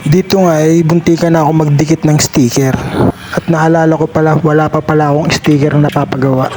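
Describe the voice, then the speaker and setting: A man's voice: fairly high pitch, level tone, fairly quick, background noise. A man with a Filipino accent doing voice-over narration for a vlog. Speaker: vlogger.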